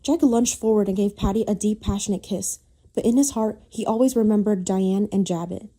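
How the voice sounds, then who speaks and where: A woman's voice: mid-range voice, fairly quick, dark tone. A woman reading a scripted, dramatic story as its narrator.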